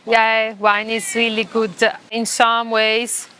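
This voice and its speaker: Slow-talking Italian woman, laughing. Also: fairly high pitch, completely flat delivery, pushed and bright, slight background noise, poor audio. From Italy. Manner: slow, hesitant, laughs often. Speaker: interviewee. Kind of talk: casual street interview. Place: beach, outdoors.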